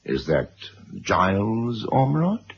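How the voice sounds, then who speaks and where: A man's voice: lowish voice, wildly swooping pitch, slow pace, breathy edge, exaggerated voice. An actor playing a domineering, condescending middle-aged wealthy father in a radio drama. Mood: coolly sardonic. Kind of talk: 1970s radio drama acting. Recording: muffled, hissy old radio drama recording.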